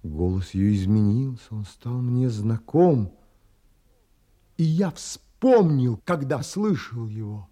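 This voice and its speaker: A man's voice: medium pitch, animated, dark tone. An actor playing an awestruck, romantic seafaring man who tells his story in reverent, dramatic literary phrases in an audio drama. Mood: wondering. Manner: reverent, dramatic, with long pauses.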